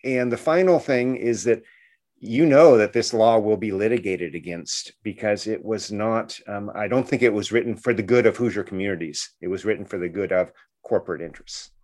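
A man's voice: lowish voice, normal speed, darkish tone. A man speaking as an expert guest in a radio interview, explaining things earnestly and often checking in with a short questioning tag.

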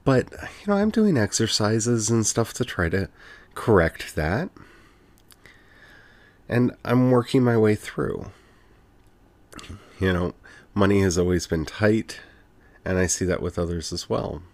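A podcast host with a very deep, low-pitched voice talks alone into the mic in an earnest, heartfelt way. The delivery is reflective and personal, dotted with soft "you know" fillers. The sound is close and dry, with no room behind it. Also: lively intonation, a little slow, long pauses, no echo. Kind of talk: reflective solo podcast monologue.

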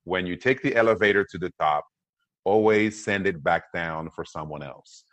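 A man's voice: deep voice, normal speed, fairly bright tone, no echo. A man in his forties who grew up in Congo, a podcast guest telling his family story in an upbeat way, with many "uh" and "um" fillers. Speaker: podcast guest, motivational speaker. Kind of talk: conversational interview.

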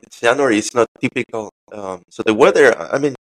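A man hosting a casual conversational interview, speaking a little haltingly and repeating phrases. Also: mid-range voice, low-quality recording. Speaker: interview host.

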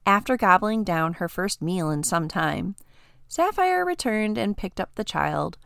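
A woman's voice: fairly low pitch, creaky, dry recording, clean studio sound. A woman reading a short story aloud as scripted storybook narration.

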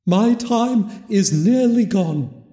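Slow solemn ghost voice, high and mellow, with big echoey reverb. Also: male voice, lively intonation, exaggerated voice. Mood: solemn warning. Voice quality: hollow, drawn-out, solemn. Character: mournful chained male ghost, grave and regretful. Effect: hall reverb.

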